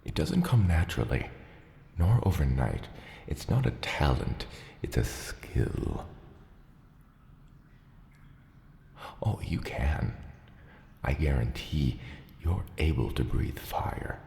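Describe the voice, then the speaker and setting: Man voicing a very deep, gravelly dragon dad. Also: in a whisper, heavily acted. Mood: patient, encouraging. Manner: halting, deadpan, dryly teasing. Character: gruff, dry-witted dragon father, fond of his hatchling. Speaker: ASMR roleplay performer. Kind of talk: ASMR roleplay acting. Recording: roomy cave-like reverb, clear background ambience.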